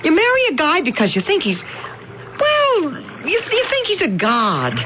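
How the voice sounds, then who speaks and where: An actress playing a bitter, regretful wife in a 1980s radio drama. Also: female voice, very high-pitched, wildly swooping pitch, unhurried, exaggerated voice. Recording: dull noisy 1980s radio broadcast.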